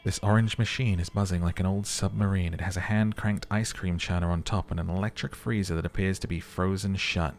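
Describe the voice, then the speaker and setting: A man reading as a moody, literary second-person game narrator in a measured, dramatic manner. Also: sits low, steady tone.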